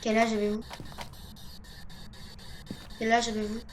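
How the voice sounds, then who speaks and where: A woman's voice: a little high, completely flat delivery, measured pace, low-quality recording. A woman giving casual video game commentary, thinking aloud to herself and often repeating her phrases. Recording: clear background noise, game ambience.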